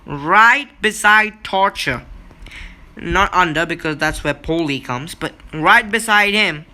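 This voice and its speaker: A gaming video host chatting excitably in a casual commentary style. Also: mid-range voice, smooth. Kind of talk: casual gameplay commentary and story recap.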